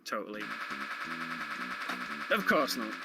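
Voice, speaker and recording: A man's voice: medium pitch, very animated, slow pace, heavy background noise. A man giving casual, explanatory live commentary on a video game, speaking informally like a speedrun commentator. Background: video game music.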